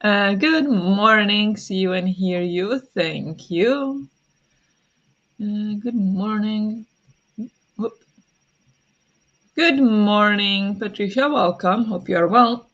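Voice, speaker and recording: A woman hosting a casual morning livestream from home, chatting, apologizing and greeting viewers, with many fillers, soft laughs and a voice that sometimes turns raspy. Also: mid-range voice, slow pace, pauses often, lo-fi sound. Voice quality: sometimes raspy, habitually clears throat. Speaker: livestream host.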